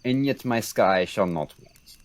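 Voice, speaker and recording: A man's voice: fairly low pitch, animated, unhurried, dry recording. A young man acting a sardonic French military commander in a Shakespeare radio play, with a dry, mocking delivery. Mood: dry needling. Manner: dry, wry.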